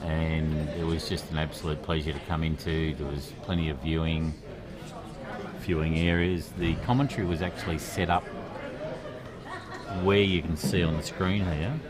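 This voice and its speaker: A man with an Australian accent commentating a pool match in a relaxed, chatty way, with a quiet voice and many fillers. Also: a bass voice, moderate pace, crowd noise.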